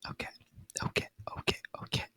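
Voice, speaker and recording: Male ASMR host, fully whispered review delivery, bright airy hiss, intimate close-miked dry sound. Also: a little fast, dry recording. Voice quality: breathy unvoiced whisper. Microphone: close microphone.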